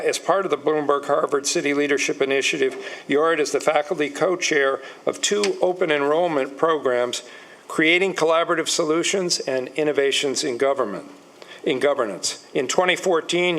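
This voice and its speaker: A man opens a panel event in a measured, formal way. His voice sits a little on the high side for a man, and he reads through a guest's credentials at a steady, careful pace. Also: poor audio. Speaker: event host introducing a panel. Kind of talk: formal prepared introduction.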